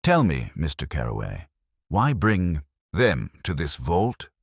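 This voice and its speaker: A man acts a grave Victorian detective in an audio drama. He is calm, exact and commanding, with a husky voice that moves expressively up and down, in a very dry recording. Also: a bass voice, no echo, full character voice. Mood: calm authority. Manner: measured, quiet, incisive.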